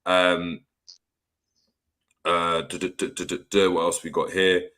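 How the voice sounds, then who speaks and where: Laid-back young man with a deep voice. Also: level tone, takes it slowly, sharp tone. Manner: laid-back, slangy, stumbles and repeats words. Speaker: podcast host. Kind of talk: casual football chat, reading comments.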